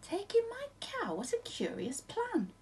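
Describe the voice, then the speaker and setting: A woman voicing a flustered, grumbling little old lady from a storybook, pleading and exclaiming with drawn-out words. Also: very high-pitched, huge pitch swings, darkish tone, a little hiss or hum, pitched above the performer's own voice, full character voice. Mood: incredulous.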